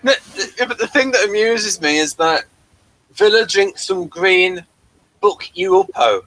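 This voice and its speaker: A man's voice: high voice, animated, a little slow, a bit bright, poor audio. A man chatting casually as a co-host, often repeating words as he stammers along. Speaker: podcast co-host reviewing a TV series. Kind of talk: casual chat.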